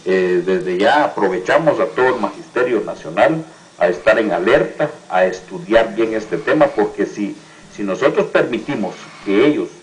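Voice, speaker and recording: A man with a Guatemalan accent, giving political commentary as a union representative, often halting and repeating words. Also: on the low side, expressive, slight background noise, low-quality recording. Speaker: teachers' union representative, interview guest.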